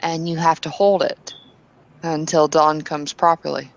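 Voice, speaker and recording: A woman's voice: low-pitched, flat delivery, unhurried, vocal fry, muffled recording. A woman playing a blunt, impatient woman in an in-character tabletop roleplay, speaking in hesitant bursts that turn opinionated. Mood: earnest, warning.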